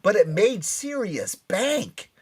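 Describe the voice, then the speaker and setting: Animated high-pitched male talk show host. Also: slow, slightly rough. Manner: excitable, emphatic, teasing. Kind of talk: lively panel banter.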